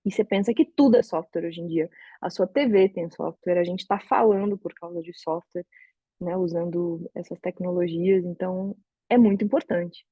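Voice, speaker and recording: A woman's voice: lowish voice, steady tone, moderate pace, breathy edge, dry recording, highs cut off. A Brazilian woman in her thirties with a Brazilian Portuguese accent, giving a conversational online talk from home, thinking aloud with frequent restarts. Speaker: open source advocate giving a talk. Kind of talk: casual online talk to a community. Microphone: video call.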